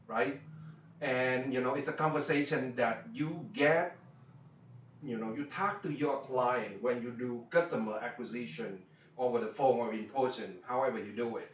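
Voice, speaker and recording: A man's voice: medium pitch, fairly bright tone. Man from Vietnam, business coach, repetitive with fillers, distant dull roomy recording. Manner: repetitive, many fillers and tag questions, non-native grammar. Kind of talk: workshop talk. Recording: echoey room, distant dull mic.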